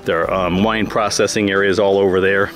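A man's voice: low-pitched, level tone, normal speed, music in the background. A man chatting casually as a travel vlogger behind the camera, with a jokey, teasing manner. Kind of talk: casual vlog chat. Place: outdoors at a winery patio and park trail. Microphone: handheld camera mic.

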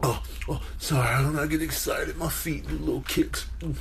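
Man voicing a gravelly, blissful fish-loving cat. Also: a little high, fairly even intonation, fairly bright tone. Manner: slow, savouring, moaning with pleasure. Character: blissful, food-loving male cat hugging his fish. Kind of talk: comic animal voiceover. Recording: noisy, rough clip.

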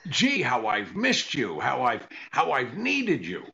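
An older man playing a dry, put-upon comedian husband in a 1940s radio comedy, deadpan and hesitant, with a gravelly voice. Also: mid-range voice, takes it slowly, muffled recording, full character voice. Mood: deadpan teasing.

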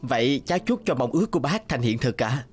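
Young male scholar, acted by a man, cartoon fairy tale, mid pitch, earnest, polite and admiring. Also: very animated, fast talker, soft background music, close to an everyday voice. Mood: encouraging. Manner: earnest, respectful.

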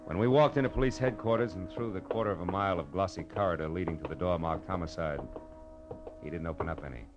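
An actor playing a tough, wry private detective in an old radio noir drama, clipped and sardonic. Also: male voice, sits low, quick pace, lo-fi sound, close to an everyday voice. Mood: brooding narration. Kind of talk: 1950s radio noir acting and narration. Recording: old radio recording with music behind.

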